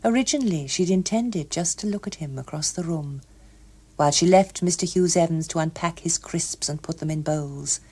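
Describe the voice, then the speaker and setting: British woman, audiobook narrator, lowish mellow voice, expressive literary storytelling. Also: moderate pace, breathy edge.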